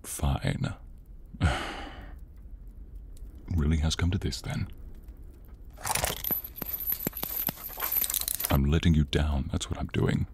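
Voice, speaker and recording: A man playing an exasperated, menacing horned demon in an ASMR roleplay, theatrical and grumbling, with a husky, breathy voice. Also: extremely low pitch, slow, a little mellow, slight background noise, voice pushed down, full character voice. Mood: resigned.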